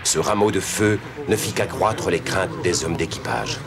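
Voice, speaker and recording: A man playing a grave storyteller, delivering scripted narration of a sea voyage for an animated series. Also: sits low, unhurried, music in the background. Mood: solemn. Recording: clear noise behind voice.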